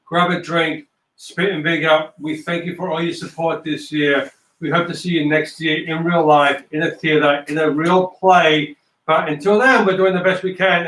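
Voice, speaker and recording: High-voiced man hosting in echoey room. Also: a bit bright. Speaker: host and stage-direction reader. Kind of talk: casual hosting and scripted narration. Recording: roomy, distant microphone.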